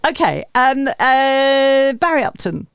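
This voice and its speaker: A woman hosts a casual panel chat. Her pitch moves around a great deal, giving her an animated delivery. The sound is dry, with hardly any room on it. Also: slow, no echo. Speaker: host of a music review panel show.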